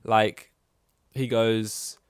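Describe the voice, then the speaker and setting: Low-voiced man, rambling sweary podcast host. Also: little pitch movement, very slow, clear, resonant tone, dry recording, studio quality. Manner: rambling, sweary, sarcastic, acts out mock quotes. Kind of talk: casual opinionated sports ranking commentary.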